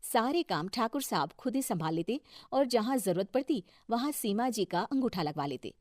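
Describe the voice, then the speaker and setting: A woman's voice: fairly high pitch, expressive, moderate pace, a little mellow, no echo, studio quality. A woman reading scripted narration for a moral story as the storyteller.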